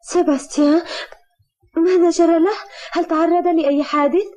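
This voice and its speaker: A woman's voice: very high-pitched, steady tone, fairly quick, mellow. An actress playing a caring, fretful young woman in a dubbed cartoon, speaking formal Arabic in an emotive manner. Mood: anxious.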